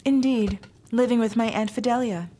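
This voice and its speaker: A woman's voice: a little high, moderate pace, dark tone, natural voice. An actress playing a sweet, genteel, sociable young noblewoman in an audio drama. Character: genteel, chatty young noblewoman doting on her dog.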